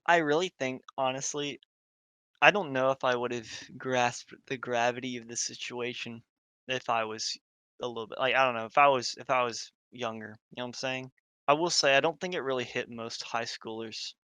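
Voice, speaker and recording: A man's voice: mid-range voice, normal speed, bright, forceful tone, clear, resonant tone, dry recording. A young adult man with an American accent co-hosting a podcast, giving his opinions in casual discussion. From United States. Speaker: podcast co-host.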